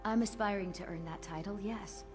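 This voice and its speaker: An actress playing an ambitious young woman alchemist in a game, with a measured, eerie formal delivery. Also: medium pitch, a little mellow, soft background music, natural voice. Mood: earnest. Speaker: game character.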